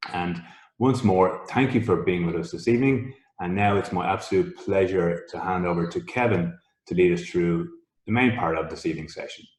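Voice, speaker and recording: A man with a very deep voice hosts a webinar over a video call. He talks politely and in an orderly way as he sets out how the session will run. His voice has a roomy echo. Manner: polite, organized, explains logistics. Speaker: coach and co-host. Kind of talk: webinar introduction.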